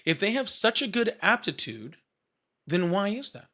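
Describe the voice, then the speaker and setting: A man's voice: sits high, very animated, moderate pace, bright, forceful tone. A man in his thirties hosting a live call-in stream, blunt and joking as he challenges callers. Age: 30s. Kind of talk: casual live call-in chat.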